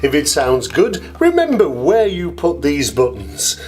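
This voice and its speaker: Male demonstrator, informal and enthusiastic, very varied pitch, some echo processing on the voice. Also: wildly swooping pitch, unhurried, noisy background. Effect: echo and delay at times. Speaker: demonstrator of an audio effects unit. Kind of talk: informal product tutorial.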